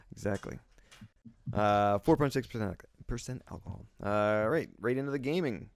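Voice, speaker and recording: A man's voice: fairly low pitch, moderate pace, no room sound, studio quality. A man co-hosting a casual podcast chat, laughing often and asking the others questions. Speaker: podcast co-host. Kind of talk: casual chat over beers.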